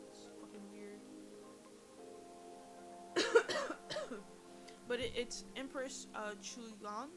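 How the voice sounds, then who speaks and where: A woman giving a casual, animated recap of a TV drama, with profanity and slang, talking straight to her chat. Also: on the high side, rough recording. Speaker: TV series reviewer.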